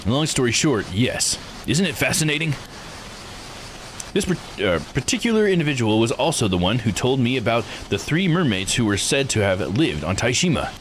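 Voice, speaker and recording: A man's voice: medium pitch, very animated, moderate pace, slight background noise, pitched above the performer's own voice, lightly acted. A man voicing a sly, courteous, inquisitive researcher in a visual novel, with a mannered, teasing delivery. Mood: coy, pleased. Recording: music behind the voice.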